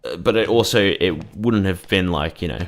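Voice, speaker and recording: Deep-voiced man rambling over gameplay. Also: expressive, a little fast. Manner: rambling, with many fillers and restarts. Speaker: gaming streamer. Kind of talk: casual live-stream commentary over gameplay.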